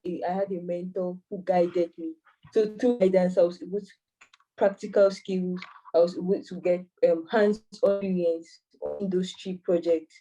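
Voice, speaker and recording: A woman's voice: lowish voice, mellow, far from the mic, rough recording. A woman in her twenties from Cameroon, an AI mentor answering interview questions hesitantly with many fillers and restarts. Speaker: AI mentor and master's student.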